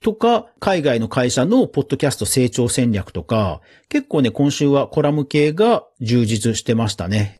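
Male podcast host, very lively intonation, husky voice, informal news commentary, chatty asides. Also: mid-range voice. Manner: chatty, informal asides with self-agreeing interjections. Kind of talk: casual news round-up commentary.

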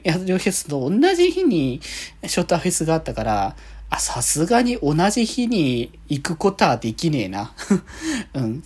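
Female podcast host, low-pitched, animated intonation, husky, halting casual solo chat. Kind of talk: casual solo chat about fan events.

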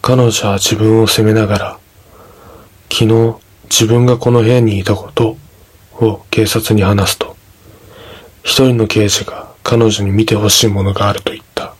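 A man narrating a scripted ghost story in a measured, dramatic storytelling manner. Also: lowish voice, level tone, breathy edge.

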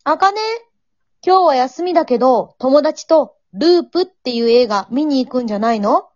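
A woman's voice: high-pitched, animated, normal speed, darkish tone, no echo, highs cut off. A woman playing an impatient, nagging mother in an amateur radio drama, calling and chiding in a sing-song way.

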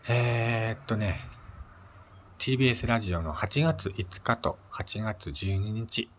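A man with a low-pitched voice hosts a relaxed solo radio talk. He speaks politely in short phrases, often pausing on small fillers as he gathers his next thought. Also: a little hiss or hum. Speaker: solo podcast host. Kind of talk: casual solo radio chat.

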